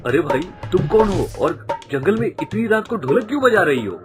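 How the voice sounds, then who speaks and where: Man voicing an excitable young cartoon guy, raised pitch. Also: normal speed, soft background music. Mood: surprised. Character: curious, excitable young male friends lost in forest. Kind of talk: cartoon story acting.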